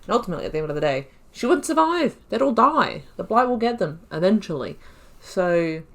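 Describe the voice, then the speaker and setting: A woman's voice: mid-range voice, big pitch swings, brisk. A woman hosting a game playthrough, chatting casually, swearing and reading dialogue choices aloud. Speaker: gaming video host. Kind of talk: casual let's play commentary.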